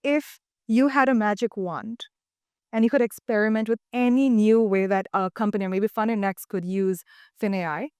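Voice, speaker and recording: A young woman hosts a panel interview in a clean, dry studio sound. Her voice is clear and sits at a middle pitch. She phrases things haltingly as she moves between remarks and questions. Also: fairly even intonation, darkish tone, dry recording. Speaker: host, interviewer. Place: indoor studio, seated panel on sofas.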